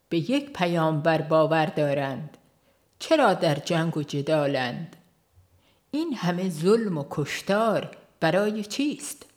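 A woman narrating a religious book from a script, in a measured, formal literary manner. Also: on the low side. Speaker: audiobook narrator.

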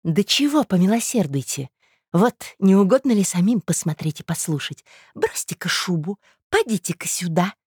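A woman's voice: lowish voice, very animated, normal speed, a little mellow, breathy edge, no room sound, studio quality, voice pushed up. A woman playing a nosy, spiteful female eavesdropper in a story, brusque, needling and conspiratorial. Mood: sharp.

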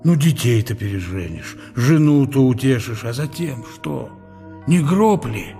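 An actor playing an ailing, aging scholar who muses bitterly and proudly on his country in a radio drama, in a husky, deliberate voice. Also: medium pitch, expressive, dark tone. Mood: solemn. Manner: deliberate, weighty, philosophical musing. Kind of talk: radio play inner monologue. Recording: music behind the voice.

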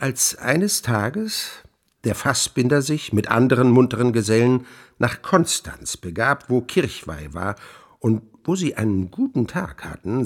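A man playing a grandiloquent scholar in a radio play, recounting witchcraft lore with relish in declamatory, archaic phrasing and emphatic pauses. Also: medium pitch, creaky, slightly rough, full character voice. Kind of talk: theatrical literary storytelling in a radio play.

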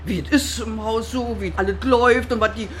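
Boozy old woman, high-pitched and pleading acted. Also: fairly even intonation, fairly quick, a little hiss or hum, natural voice. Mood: defensive, pleading. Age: older. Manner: rambling, stumbling, pleading. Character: boozy, naive, needy old woman neighbourhood gossip. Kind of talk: radio drama acting.

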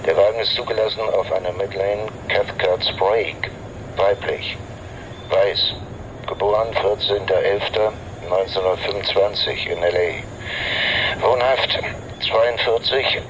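An actor playing a matter-of-fact police colleague reading out records in a clipped way in a radio drama. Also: male voice, fairly low pitch, takes it slowly, noisy background. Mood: businesslike. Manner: clipped, factual.

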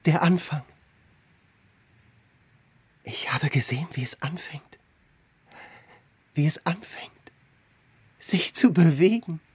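An actress playing an ecstatic visionary in a theatrical radio-play monologue, rapturous and yearning. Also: female voice, deep voice, a little mellow, heavily acted. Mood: whispered wonder. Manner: rapturous, breathy whispers rising to cries. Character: ecstatic visionary yearning to dissolve into all living matter.

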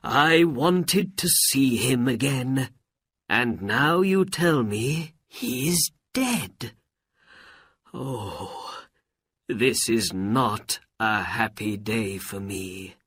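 A narrator voicing a sly old man who poses as a grieving uncle in a storybook, theatrical and drawn-out. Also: medium pitch, lively intonation, bright, forceful tone, no room sound, full character voice. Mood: tearful, grieving.

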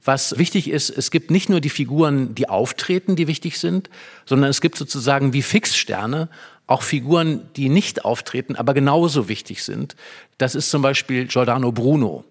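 Guy talking through a drama like an expert guest, tells it vividly, repeats bits for emphasis, normal mid pitch. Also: moderate pace. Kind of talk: conversational explanation of a play.

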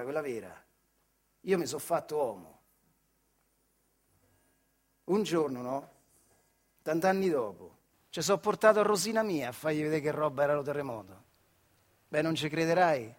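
A working-class storyteller from a radio stage monologue, a man with a fairly high voice and a central Italian Marche accent. He recalls his life in earthy, colloquial phrases. His delivery is wry and emphatic, broken by dramatic pauses. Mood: wistful. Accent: central Italian, Marche dialect. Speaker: stage actor performing a monologue.

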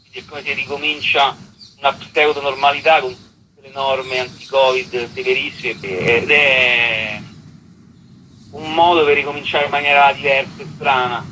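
A man's voice: mid-range voice, flat delivery, normal speed, sharp tone, slight background noise, low-quality recording. A man being interviewed casually as a writer and stage actor, hesitant and often repeating words as he thinks.

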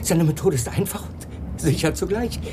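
An actor playing an uneasy small-time crook in a German radio crime drama, speaking in a wary, defensive and urgent way. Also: male voice, on the high side, expressive, normal speed, background noise, close to an everyday voice. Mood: tense. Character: uneasy small-time male crook seeking police protection.

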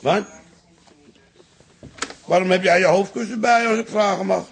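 A man's voice: high-pitched, moderate pace, vocal fry. An actor playing a nosy, blustering old father-in-law in a radio drama, with a blunt, teasing, colloquial way of talking. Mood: chatty, nosy. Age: older.

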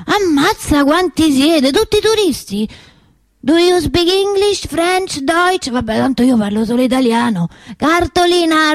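A woman's voice: sits high, no echo. An actress playing a chatty, good-natured middle-aged Roman woman who sells souvenirs, colloquial and familiar, with a Roman accent. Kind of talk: theatrical comic monologue for radio.

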